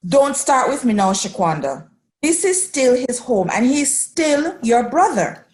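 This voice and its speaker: A woman's voice: high-pitched, sing-song, a little slow, darkish tone. A woman playing a firm, protective mother who scolds her grown daughter in a radio drama. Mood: stern. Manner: stern and scolding.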